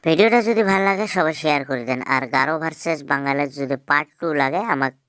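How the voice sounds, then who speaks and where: A woman's voice: deep voice, fast talker, very noisy. A woman presenting a video, speaking directly to viewers in an announcement style on a close microphone. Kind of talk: disclaimer and sign-off to viewers.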